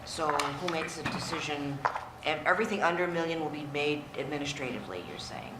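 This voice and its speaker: A woman's voice: sits low, fairly quick. A woman board member asks probing, plain-spoken questions at a formal meeting. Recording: echoey meeting room with clear background noise.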